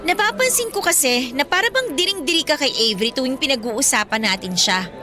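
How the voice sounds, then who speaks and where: A woman's voice: high-pitched, animated, brisk. A woman acting a defiant, outspoken young girlfriend in a radio drama, with a Filipino accent and an assertive, emphatic delivery. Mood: accusing. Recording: music bed behind the voice.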